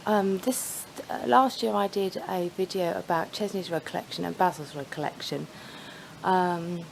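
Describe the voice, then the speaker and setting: A woman with a cold, hoarse and croaky, chatting through a casual review with many hesitations, outdoors at a horse yard. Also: on the low side, slight background noise, low-quality recording. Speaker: horse owner vlogger.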